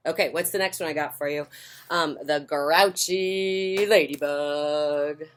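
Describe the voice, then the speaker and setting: A woman's voice: low-pitched, fairly even intonation, takes it slowly. A woman reading picture books aloud to children, playful and informal, with casual asides. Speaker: children's storyteller.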